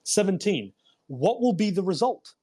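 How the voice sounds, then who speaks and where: A man with a husky voice hosting a read-aloud, delivering a book in an emphatic, deliberate way with informal commentary. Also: high-pitched, very animated, warm tone. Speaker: host reading a self-help book aloud. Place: live online audio room broadcast.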